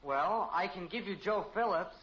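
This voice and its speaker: A man's voice: high-pitched, lively intonation, normal speed. A male actor playing an eager, self-important teenage son in 1950s radio comedy style, earnest and politely salesmanlike. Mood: businesslike. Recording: muffled old radio recording, faint hiss.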